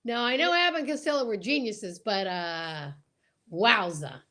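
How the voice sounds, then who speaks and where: A woman's voice: mid-range voice, normal speed, pushed and bright. A woman co-hosting an online show, chatting casually and reading old radio scripts in an exclamatory, joking manner. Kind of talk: casual chat and mock announcer script reading. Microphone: video call or livestream.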